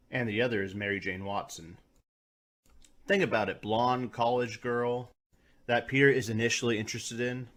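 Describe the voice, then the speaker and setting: Guy with a lowish voice doing a scripted review, colloquial and a bit sweary. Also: a bit bright. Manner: colloquial, mildly profane. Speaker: reviewer, host. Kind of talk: scripted video review narration.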